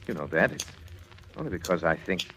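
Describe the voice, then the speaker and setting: An actor playing a troubled, formal middle-aged doctor in 1940s radio drama, speaking with deliberate emphasis. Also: male voice, low-pitched, expressive, a little hiss or hum, rough recording. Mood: concerned, placating. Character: troubled middle-aged husband, a doctor and psychiatrist, gravely insistent. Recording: old radio broadcast with loud music behind.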